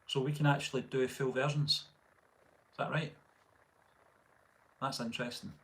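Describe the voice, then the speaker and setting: A middle-aged man with a Scottish accent, hosting a casual live stream and reading out viewer comments in a relaxed, rambling way full of fillers and restarts. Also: medium pitch, moderate pace, poor audio. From Scotland. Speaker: channel host.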